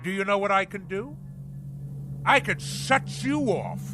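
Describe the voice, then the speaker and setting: A grandiose, paranoid old-salt husband in a theatrical radio drama. His voice sits high and swings wildly as he declaims in slow, bombastic nautical phrases, with music in the recording. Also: lively intonation. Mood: blustering, defiant. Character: pompous navy-obsessed husband, manic, blustering and paranoid.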